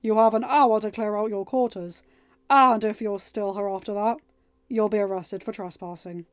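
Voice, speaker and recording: A woman's voice: mid-range voice, steady tone, normal speed, clear, resonant tone, dry recording, lo-fi sound, close to an everyday voice. A woman voicing a kind but firm young king in a storybook, with a courteous, decisive and formal manner. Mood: stern.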